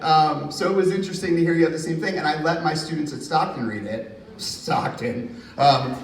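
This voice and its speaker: A man from New Jersey, an author at a university reading, telling lively anecdotes and acting out quotes with bursts of laughter. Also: a little high. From Hudson County, New Jersey. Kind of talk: casual storytelling in a Q&A. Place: university reading event hall. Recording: roomy, distant, faint ambience.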